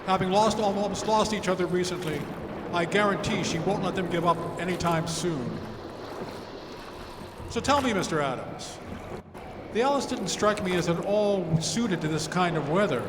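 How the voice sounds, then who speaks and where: A man playing a steady, genial reverend in command of a small boat, acted in old-time radio adventure style. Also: sits high, moderate pace. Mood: reassuring. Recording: echoey hall, clear background noise.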